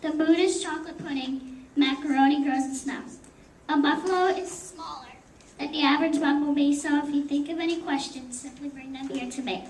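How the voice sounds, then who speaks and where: Teen girl as a very high-pitched, self-important know-it-all. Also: steady tone, brisk, close to an everyday voice. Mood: proud, gleeful. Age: teenager. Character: proudly silly female know-it-all spouting absurd false facts. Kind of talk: theatrical rhyming verse recital. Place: indoor room at a library performance. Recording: roomy, distant mic, faint noise.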